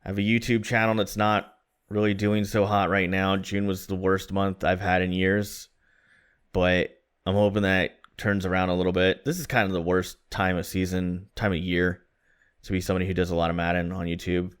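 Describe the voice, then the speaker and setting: Man, thirties, from Florida, podcast co-host, rambling casual chat, low voice. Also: level tone, moderate pace, clear, resonant tone. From Florida, USA. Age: 30s. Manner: rambling, self-deprecating, frequent restarts.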